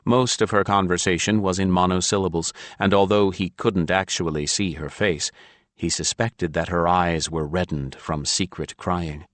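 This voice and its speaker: Deep-voiced male audiobook narrator. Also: steady tone, normal speed, a bit bright, no room sound. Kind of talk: scripted fiction narration.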